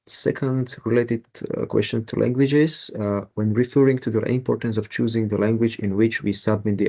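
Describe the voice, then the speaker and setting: A man's voice: on the low side, warm tone. A man moderating a webinar over a video call, politely reading out the audience questions.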